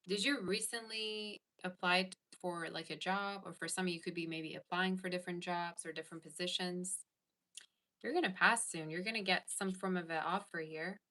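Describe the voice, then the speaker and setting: A woman's voice: lowish voice, bright, forceful tone. A woman giving a casual tarot reading to her viewers, chatting and answering comments as she goes. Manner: chatty, reads live chat aloud. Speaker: tarot reader.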